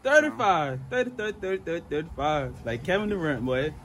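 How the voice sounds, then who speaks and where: A man's voice: on the high side, big pitch swings, fairly quick, a bit bright. A young man in casual, joking chat, playfully repeating phrases for effect, recorded on location. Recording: noisy on-location handheld audio.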